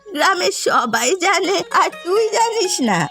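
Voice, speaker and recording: A woman's voice: very high voice, very animated, measured pace, pushed and bright, soft background music, heavily acted. A woman playing a gossipy, teasing old village granny in a cartoon story, drawling her words. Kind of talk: dubbed cartoon story acting.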